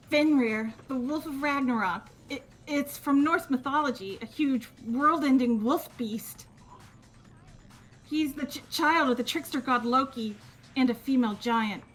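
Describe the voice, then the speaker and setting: A woman voicing a nervous, gloomy teenage bookworm with a halting stammer in a fan-dubbed video game. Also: high voice, low-quality recording. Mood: awed, nervous. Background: game music.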